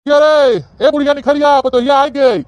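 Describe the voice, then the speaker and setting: A woman's voice: sits high, fairly even intonation, moderate pace, a little mellow, no echo, dull audio, close to an everyday voice. A woman voicing a bossy, impatient old father-in-law in an Awadhi comedy cartoon, scolding and blustery, with an Awadhi accent.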